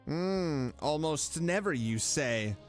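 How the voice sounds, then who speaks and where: A man's voice: a little high, expressive, takes it slowly, faint music behind. A man giving casual reactions while streaming a game, muttering playful asides and often repeating himself. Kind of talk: casual gameplay reaction commentary.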